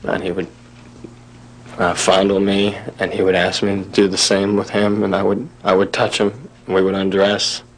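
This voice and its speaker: Male witness, lowish register, halting and repetitive courtroom testimony. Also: little pitch movement, low-quality recording.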